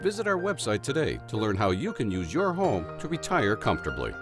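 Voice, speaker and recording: A man's voice: huge pitch swings, brisk. Man reading an upbeat ad over music. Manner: smooth, upbeat sales pitch. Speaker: commercial announcer. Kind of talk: scripted radio-style ad read.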